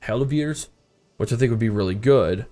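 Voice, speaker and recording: A man's voice: mid-range voice, normal speed, dark tone, faint music behind. A congested man with a stuffed-up nose, casually commenting as he plays a strategy game and thinking aloud. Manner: rambling, thinking aloud, frequent uh fillers. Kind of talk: casual let's-play commentary.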